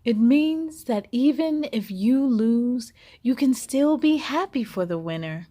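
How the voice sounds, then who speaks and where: A woman voicing a wise old cheetah in a children's storybook, giving solemn advice in a hushed, whispery voice. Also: a little high, expressive, slow pace. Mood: calm, wise. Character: wise old male cheetah in a dream, gentle. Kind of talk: children's storybook read-aloud.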